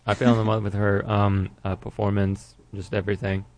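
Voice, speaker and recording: A man, a clarinetist guest in an interview, speaking in a soft, halting way about performing music. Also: on the low side, completely flat delivery, no echo. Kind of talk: conversational interview.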